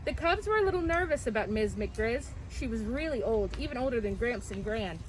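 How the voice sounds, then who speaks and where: A woman storyteller reading a picture book aloud to children in an expressive style. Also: on the high side, brisk. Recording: clearly noisy, with background ambience.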